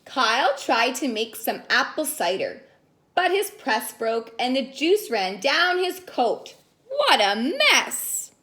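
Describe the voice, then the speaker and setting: A woman reading stories aloud to young children in an expressive, sing-song way, prompting them as she goes. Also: sits high, slow. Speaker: children's story reader and caregiver.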